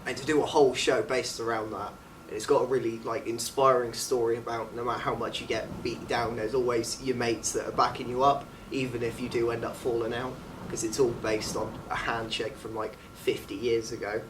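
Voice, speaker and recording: A man's voice: mid-range voice, little pitch movement, brisk. Young Englishman, recorded at a distance. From England. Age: late teens. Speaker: student actor being interviewed. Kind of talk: casual interview chat. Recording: distant mic with faint background noise.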